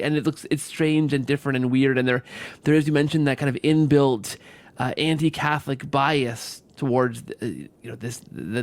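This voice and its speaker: A man with a fairly high voice hosts an interview in a very dry acoustic. He talks conversationally, often restarting and rephrasing as he works toward his point. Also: dry recording. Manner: halting, repeats and rephrases phrases while building a point. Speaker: podcast host.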